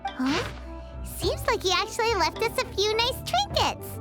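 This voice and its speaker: Tiny excitable fairy companion in a game: very high, wildly lively, over loud music. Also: female voice, moderate pace. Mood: excited. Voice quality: squeaky childlike high voice. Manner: excitable, refers to herself in third person. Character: small chirpy fairy companion, curious and bold. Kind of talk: video game dub acting.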